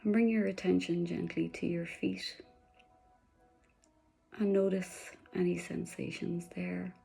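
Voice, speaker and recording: Woman meditation guide, soft-spoken with breathy whispers, dark flat delivery. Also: fairly low pitch, takes it slowly, faint music behind.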